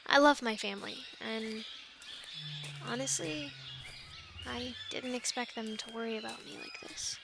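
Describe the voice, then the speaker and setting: A woman playing a plucky young island castaway in an ASMR roleplay, chatting in an animated, halting, stammering way. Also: on the high side, creaky, background noise. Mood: awkward, apologetic. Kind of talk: ASMR roleplay, conversational one-sided dialogue.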